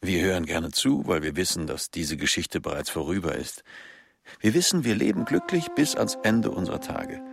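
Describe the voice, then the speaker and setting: An actor playing a philosophical male storyteller in a radio drama, gravelly and reflective. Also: lively intonation, moderate pace. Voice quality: deep, gravelly. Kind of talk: literary radio drama monologue. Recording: music under the voice. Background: music.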